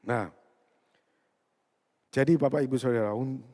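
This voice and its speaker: A man's voice: medium pitch, a little slow, warm tone. A man preaching to a congregation in a conversational way, repeating key words for emphasis. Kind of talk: sermon addressed to a congregation, with personal anecdotes. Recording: long hall reverberation.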